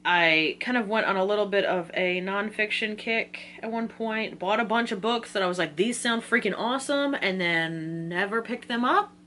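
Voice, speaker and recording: A woman's voice: medium pitch, moderate pace, hardly any pauses. Chatty American woman with background hum. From Virginia, United States. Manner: excitable, informal, rambling with slangy asides. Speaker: book vlogger. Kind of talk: casual chatty video talk.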